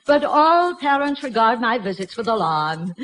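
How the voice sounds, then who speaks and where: An actress playing a prim, fussy schoolteacher with a precise, theatrical manner in a 1940s radio comedy. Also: female voice, on the high side, sing-song, normal speed, a bit bright, slight background noise, low-quality recording. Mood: solemn, comic. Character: prim, fussy schoolteacher who slips into comic slang. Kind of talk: 1940s radio sitcom acting. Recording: vintage 1940s radio broadcast.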